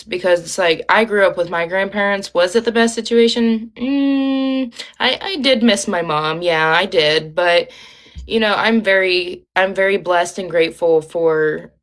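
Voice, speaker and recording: A woman's voice: mid-range voice, a bit bright. A young woman with a Midwestern Ohio accent, chatting casually and rambling as she hosts a livestream, with lots of ums and likes. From Ohio, USA. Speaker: livestream host.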